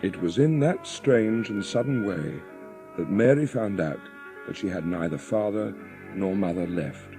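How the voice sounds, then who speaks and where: Low, creaky, mellow male storyteller. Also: lively intonation, a little slow. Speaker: audiobook narrator. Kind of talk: scripted dramatic storytelling narration. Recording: music behind the narration.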